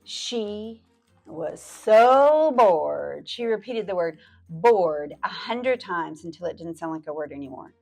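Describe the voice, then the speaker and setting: A woman's voice: lowish voice, lively intonation, slow pace, gravelly, a little mellow, faint music behind. A woman library storyteller reading a picture book aloud to children, stretching words out and dropping into playful whispered asides.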